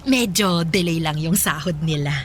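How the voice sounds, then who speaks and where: A woman's voice: low-pitched, moderate pace, slight background noise, close to an everyday voice. An actress playing a brazen, flirty, freeloading middle-aged single mother in a Filipino radio drama, sassy and teasing with coquettish wheedling. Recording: music behind the voice.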